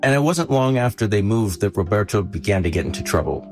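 A man reporting a story on a news podcast, explaining it in a measured, storytelling way. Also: fairly low pitch, animated, a little mellow, faint music behind.

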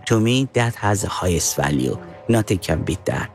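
Man in his 60s from Iran, lowish voice, earnest, slow deliberate phrasing. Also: animated, soft background music. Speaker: interviewee, father. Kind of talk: interview answers.

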